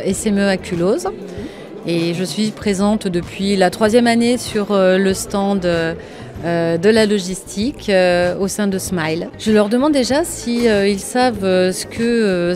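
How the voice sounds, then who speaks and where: Woman, hesitant, fillers, trade fair, handheld recorder, music behind. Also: medium pitch. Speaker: logistics manager. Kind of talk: interview at a trade fair stand. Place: indoor fair stand. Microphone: handheld recorder held by interviewer.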